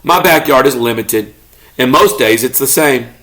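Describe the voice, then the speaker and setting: A man voicing a good-natured, grumbling pet beagle telling his own storybook tale in an expressive, mock-indignant way. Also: mid-range voice, normal speed, close to an everyday voice, poor audio. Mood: wistful, eager.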